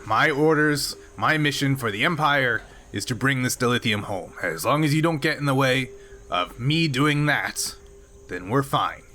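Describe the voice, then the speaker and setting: A man's voice: medium pitch, expressive, normal speed, pushed and bright, a little hiss or hum. A man voicing a jolly, proud older Klingon mine boss in a tabletop game, speaking in a blustery, emphatic way. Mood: jovial, boastful.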